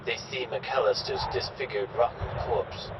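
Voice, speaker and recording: A man's voice: fairly low pitch, fairly even intonation, normal speed, heavy background noise. A man narrating a horror radio play in a scripted, dramatic style. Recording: distant, muffled recording. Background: loud music.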